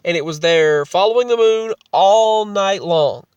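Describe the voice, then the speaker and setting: A man's voice: high voice, measured pace, darkish tone, clean tone, no echo, far from the mic. A man with a cold, sounding congested, talking casually to his vlog audience and often restarting and repeating his phrases. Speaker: vlogger.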